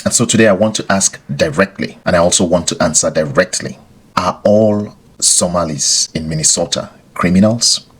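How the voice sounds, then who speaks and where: A man hosting a podcast, giving scripted explanatory commentary in a deliberate way, with rhetorical questions and repeated phrases for emphasis. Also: sits low, fairly bright tone, husky, poor audio. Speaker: podcast host.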